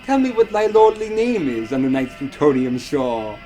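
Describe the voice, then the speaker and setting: A man's voice: fairly high pitch, very animated, normal speed. Theatrical young man reciting gothic verse, music behind. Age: young adult. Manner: theatrical, brooding, swings from hushed to loud. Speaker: performer reciting a poem. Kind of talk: dramatic gothic horror poetry recitation. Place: furnished basement living room.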